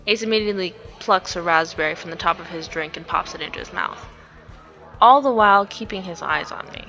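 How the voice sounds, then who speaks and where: Female let's play narrator, bright forward voice, expressive story reading mixed with casual commentary, music underneath. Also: on the low side, fairly quick, dull audio. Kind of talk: reading a visual novel aloud with casual reactions.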